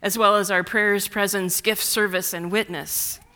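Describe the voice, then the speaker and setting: A woman pastor giving a sermon, unhurried and measured, with wry asides, speaking English as a second language after Russian. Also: mid-range voice, steady tone, sharp tone.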